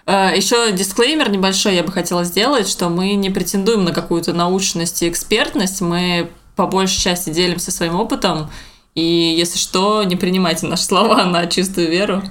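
A woman's voice: fairly low pitch, fairly even intonation, moderate pace, fairly bright tone. Young woman in casual podcast chat. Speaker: podcast co-host, illustrator.

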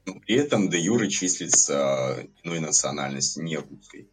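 Podcast guest: young man from Latvia, very deep and husky, rambling and hedging. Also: lively intonation, moderate pace, faint music behind, rough recording. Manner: rambling, many hedges and fillers. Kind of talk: casual podcast chat.

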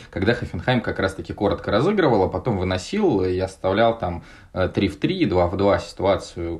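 Deep-voiced man hosting a football podcast. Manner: hesitant, many "э" fillers. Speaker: podcast host. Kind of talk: casual football analysis chat.

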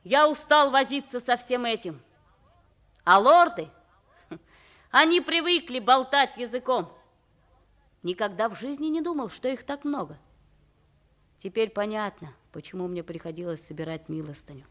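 A woman's voice: fairly high pitch, animated, normal speed, long pauses, fairly bright tone. An actress playing a good-hearted pauper boy posing as king, in a theatrical, earnest Soviet radio play style. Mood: weary, grumbling.

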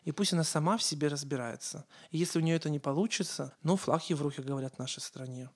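A man's voice: fairly high pitch, quick pace. A man from Ukraine co-hosting a casual podcast, talking in an earnest, rambling way and often correcting himself mid-sentence. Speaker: podcast co-host.

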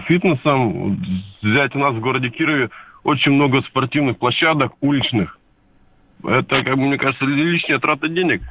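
A man's voice: mid-range voice, dry recording. A man in his thirties calling a radio show, talking hesitantly with many fillers and restarts. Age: 30s. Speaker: caller. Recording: tinny phone line.

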